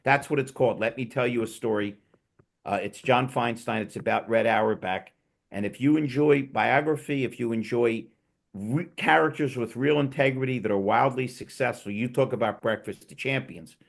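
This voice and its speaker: Male host, mid-pitched, gravelly, chatty storyteller on a remote call. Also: normal speed. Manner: rambling, enthusiastic storyteller with restarts. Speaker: podcast host. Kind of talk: casual panel chat.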